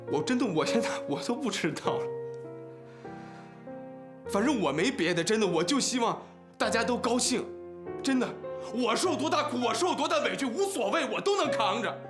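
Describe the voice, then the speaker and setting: An actor playing an exasperated, long-suffering younger brother in his thirties in a TV family drama, pleading in rhetorical questions. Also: very high voice. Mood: hurt, earnest. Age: 30s. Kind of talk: naturalistic TV drama acting. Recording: background music under dialogue.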